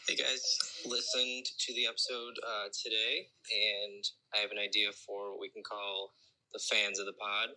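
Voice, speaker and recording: A man's voice: on the low side, level tone, normal speed, sharp tone, rough recording. Sheepish man leaving a voice message. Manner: hesitant, a bit sheepish. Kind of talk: recorded voice message.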